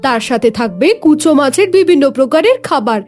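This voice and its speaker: A woman's voice: high-pitched, expressive, fast, a little mellow. A woman playing a hard-working village wife who cooks and runs a food hotel, in a cartoon dub. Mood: welcoming. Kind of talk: Bengali cartoon dubbing. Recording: music behind the voice.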